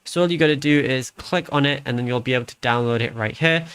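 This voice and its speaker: Male tutorial host, average pitch, informal and matter-of-fact step-by-step narration. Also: fast, a bit bright, clean tone. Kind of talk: casual how-to screen tutorial narration.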